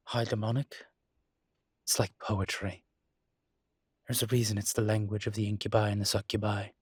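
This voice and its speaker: A man playing a suave, proud incubus demon in a roleplay audio drama, speaking in a husky voice with wry, articulate, emphatic phrasing. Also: on the low side, a bit bright, no room sound, clean studio sound. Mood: smooth, enticing. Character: suave adult male incubus demon, proud, wry, protective of his kind. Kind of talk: roleplay audio drama, intimate conversational monologue.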